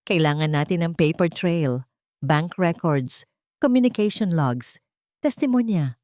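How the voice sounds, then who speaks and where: A woman voicing a serious, blunt lawyer in his forties, calm and formal, in a narrated drama story. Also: lowish voice, a little slow, no room sound, studio quality. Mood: measured, serious.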